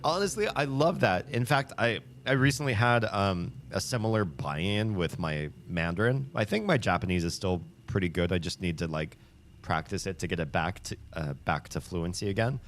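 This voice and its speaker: A man with a low voice hosts a livestream, talking with viewers in a chatty, upbeat way. He rambles and restarts his sentences, with many ums and likes. A faint hiss sits underneath. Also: expressive, normal speed. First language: English. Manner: rambling, with frequent fillers and restarts. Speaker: livestream host. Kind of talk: casual chat with viewers.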